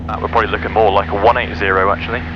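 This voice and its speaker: Low-voiced male pilot in a noisy cockpit. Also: little pitch movement, racing. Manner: methodical, talks through checks aloud. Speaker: private pilot. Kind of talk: radio calls and self-talk cockpit commentary. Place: small light aircraft cockpit in flight. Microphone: aviation headset. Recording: dull cockpit intercom, loud engine noise.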